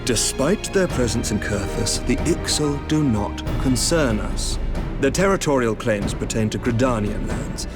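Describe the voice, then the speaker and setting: An actor playing a dignified, courteous knight commander in a video game, speaking formally and resolutely. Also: male voice, medium pitch, expressive, unhurried, close to an everyday voice. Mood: firm, measured. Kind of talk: scripted video game cutscene dialogue. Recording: orchestral game music behind the voice.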